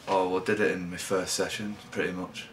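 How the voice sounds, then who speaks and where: A young man from England, a rugby league player giving low-key, halting interview answers. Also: flat delivery, a little hiss or hum.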